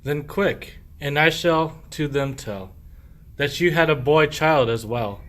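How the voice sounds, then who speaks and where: Sly, weary henpecked husband played by a man, slow theatrical verse delivery, scheming comic rogue for radio play. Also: fairly high pitch, fairly bright tone, slight background noise. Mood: scheming, furtive. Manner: theatrical, declaiming rhymed verse. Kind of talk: verse radio play acting of a medieval comedy.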